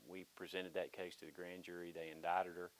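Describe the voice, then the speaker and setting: A man giving a press interview as a prosecutor, with halting, measured official wording. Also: deep voice, level tone, low-quality recording. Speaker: district attorney interviewee. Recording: faint hiss and noise.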